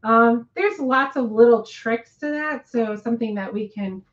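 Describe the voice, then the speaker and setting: A woman co-hosting a casual live chat, rambling with many ums and restarts, her voice hoarse and nearly gone. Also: a little high, measured pace, lo-fi sound.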